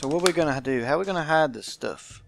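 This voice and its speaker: Higher-voiced man hosting a let's play. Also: lively intonation, fast, gravelly, faint music behind. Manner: reads game text aloud, with informal asides. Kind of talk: visual novel let's play, narration and commentary.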